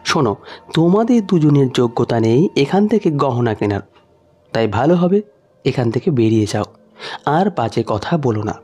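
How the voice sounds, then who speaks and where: Man reading as haughty saleswoman, lively pitch. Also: normal speed, breathy edge, soft background music. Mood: annoyed scorn. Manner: scornful, dismissive.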